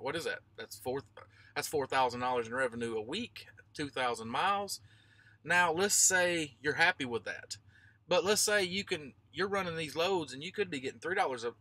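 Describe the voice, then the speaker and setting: A man's voice: medium pitch, bright, forceful tone, no room sound. A man in his thirties talking informally to vlog viewers, explaining emphatically and repeating himself to drive points home. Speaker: trucker vlogger.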